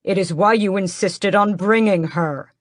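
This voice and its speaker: A woman's voice: mid-range voice, measured pace, dry recording, clean studio sound, close to an everyday voice. Woman voicing Raoul, an angry vampire prince. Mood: furious. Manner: forceful, yelling, growling threats. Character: angry young male vampire prince, defiant.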